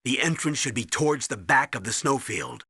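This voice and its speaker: An actor playing a stern, urgent military colonel who gives mission orders in a commanding, clipped way in a video game. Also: male voice, mid-range voice, sing-song, moderate pace, pushed and bright, husky, dry recording, clean studio sound, exaggerated voice. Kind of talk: dramatic video game radio briefing.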